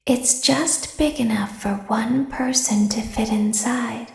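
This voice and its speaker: Woman plays a cruel animatronic girl, whispered threats, unhurried and high-set, echoing space. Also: fairly even intonation, vocal fry. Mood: menacing whisper. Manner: soft menacing whisper. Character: sinister girlish female animatronic, calm and cruel. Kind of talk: fan voice acting of game lines.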